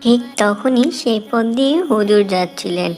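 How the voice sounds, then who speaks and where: A woman reading scripted narration for an animated children's moral story. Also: mid-range voice, normal speed, lightly acted. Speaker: narrator and character voice.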